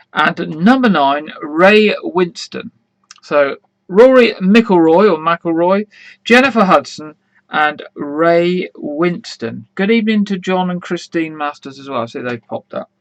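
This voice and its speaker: A man hosting an online quiz in a casual, rambling way, full of fillers, with a slightly croaky voice. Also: high-pitched, animated, a little slow, fairly bright tone, dry recording, poor audio. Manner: chatty, rambling, many fillers and restarts. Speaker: quiz host.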